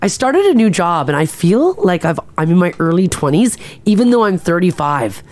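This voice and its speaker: A woman's voice: on the low side, sing-song, moderate pace, a little mellow. A woman co-hosting a podcast, reading listener texts aloud in easygoing chat. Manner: easygoing, reads messages aloud. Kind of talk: casual chat.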